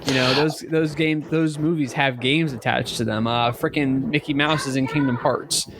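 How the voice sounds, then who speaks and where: A man's voice: mid-range voice, steady tone, normal speed, noisy background. A man chatting informally and enthusiastically as a podcast co-host. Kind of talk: casual podcast chat.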